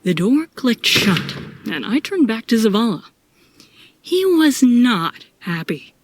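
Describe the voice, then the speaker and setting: A woman's voice: on the high side, big pitch swings, slow, slight background noise. A woman reading scripted first-person narration for an audio drama.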